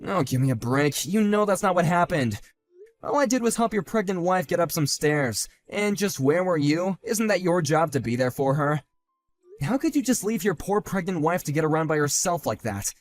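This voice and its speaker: A man voicing a calm, sardonic grown man who talks in a level, dry and cutting way, in a one-man voiced drama. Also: a little high, moderate pace, soft background music, natural voice.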